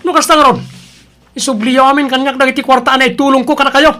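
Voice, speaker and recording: A man's voice: very high-pitched, level tone, quick pace, sharp tone. A man playing a selfish, demanding grown son in a confrontational family radio melodrama. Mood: angry, demanding. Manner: insistent, confrontational. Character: selfish, demanding grown son, a debt-ridden gambler back from sea.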